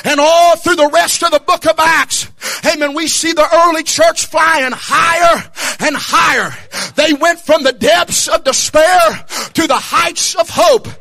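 A young man preaching a fervent revival sermon, shouting and half-singing his lines with calls of amen and hallelujah. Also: very high voice, steady tone, unhurried, gravelly. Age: young adult.

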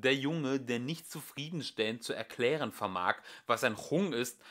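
A young man reading a comic science fiction novel aloud as an amateur home audiobook, with a dry, expressive storytelling manner. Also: mid-range voice. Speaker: audiobook reader. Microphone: home recording.

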